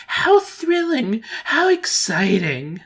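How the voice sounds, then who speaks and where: A man voicing an excitable, gushing wife in a motion comic, with drawn-out exclamations. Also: very high-pitched, slightly rough, voice pushed up, exaggerated voice. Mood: thrilled, gushing.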